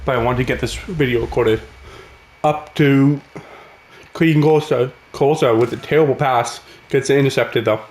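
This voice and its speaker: A man, raspy from a cold, giving casual play-by-play commentary over a hockey video game. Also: mid-range voice, normal speed, echoey room, slight background noise. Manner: excitable, calls the action as it happens. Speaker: gaming channel host.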